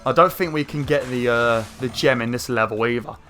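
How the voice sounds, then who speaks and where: Croaky man chatting over gameplay. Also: medium pitch, fairly quick. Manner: rambling, chatty, many fillers, excited outbursts. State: croaky throat. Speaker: gaming channel host. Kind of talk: casual gameplay commentary. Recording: faint game music behind. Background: game music.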